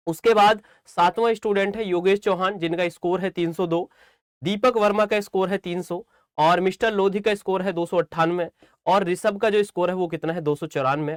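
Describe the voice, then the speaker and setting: A man's voice: high-pitched, no room sound, studio quality. A man giving informal instructional talk to students as a coaching teacher, repeating phrases for emphasis and often checking that they follow.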